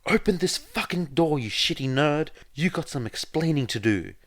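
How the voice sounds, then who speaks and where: A man voicing a furious, foul-mouthed teenage boy bully in an audio story, shouting aggressively. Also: a little high, lively intonation, normal speed, voice pushed up.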